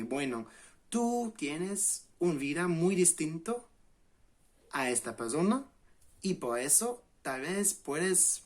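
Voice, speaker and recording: A man in his late twenties from England, a native English speaker with an English accent, giving a relaxed spoken lesson to camera and pausing often to restart with fillers. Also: mid-range voice. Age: late 20s. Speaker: language channel host.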